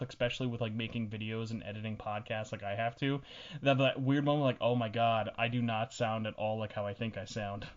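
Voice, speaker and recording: A young man hosts a casual podcast in a teasing, joking manner. His voice sits at a middling male pitch. The recording is a little dull and muffled. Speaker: podcast host.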